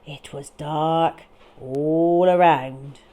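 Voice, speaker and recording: A woman reading a picture book to young children in a dramatic, expressive way with deliberate phrasing. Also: deep voice. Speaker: children's storyteller.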